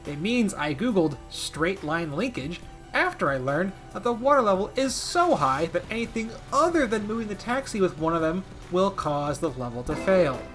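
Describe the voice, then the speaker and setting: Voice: mid-range voice, big pitch swings, normal speed, fairly bright tone, soft background music. A video game commentator narrating a scripted gaming video with wry, playful asides.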